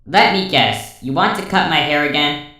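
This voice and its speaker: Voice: medium pitch, normal speed, pushed and bright, far from the mic. A performer voicing a playful young female robot pony assistant with a synthetic, echoing voice, in an audio story. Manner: clipped machine-like status reports mixed with teasing. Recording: echoing processed sound.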